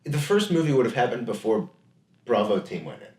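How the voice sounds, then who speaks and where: A man co-hosting a casual podcast, his pitch swinging widely as he talks. He is lively and jumps in to agree and add opinions, and the room gives his voice a clear echo. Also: moderate pace. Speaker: podcast co-host. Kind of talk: casual chat. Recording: echoey room.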